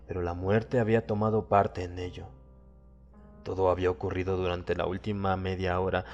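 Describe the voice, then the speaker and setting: Male horror audiobook narrator, fairly deep, deliberate and suspenseful, scripted dramatic read with music underneath. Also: darkish tone. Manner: suspenseful, theatrical, deliberate phrasing.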